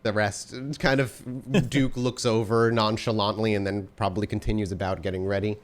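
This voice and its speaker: Male storyteller, lowish voice, scene-setting narration with frequent fillers. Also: little pitch movement, normal speed. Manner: descriptive, asks players questions with many fillers. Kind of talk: narrating and running a tabletop game.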